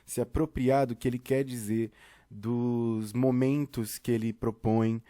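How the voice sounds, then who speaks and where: A man's voice: medium pitch, steady tone, measured pace, warm tone. A Brazilian man giving an informal tutorial, talking straight to the viewer in a theatrical, conversational way. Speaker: theatre teacher and podcast host.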